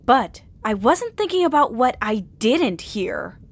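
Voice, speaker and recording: A determined woman sleuth in an audio murder mystery. Her voice sits a little high and swings expressively as she builds each accusation step by step, dramatic and emphatic. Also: sing-song, measured pace, full character voice. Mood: determined, revelatory. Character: sharp, determined woman amateur detective laying out accusations.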